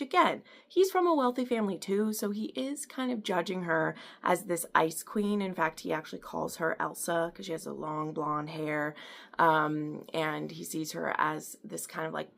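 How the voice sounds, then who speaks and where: A woman's voice: fairly low pitch, creaky, fairly bright tone. A young woman with a Minnesotan accent, recommending romance books from home in a rambling, chatty way. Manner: chatty and rambling, easily sidetracked. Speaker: book reviewer. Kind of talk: casual chat. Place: home, by a window.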